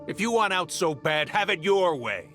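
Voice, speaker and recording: An actor playing a greedy, callous arms dealer in a video game, with a dismissive, cynical way of talking. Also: male voice, high voice, very animated, moderate pace, fairly bright tone. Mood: cold, callous. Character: greedy, callous male arms dealer, coldly self-serving. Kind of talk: dramatic video game voice acting. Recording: music behind the voice.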